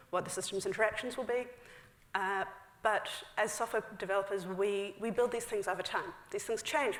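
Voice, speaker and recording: A woman's voice: medium pitch, fairly bright tone. Woman in her thirties, casual conference talk, headset mic, medium voice, echoing theater. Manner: chatty, frequent fillers, quick asides with laughs. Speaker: conference speaker. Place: large dark theater stage. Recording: echoey hall.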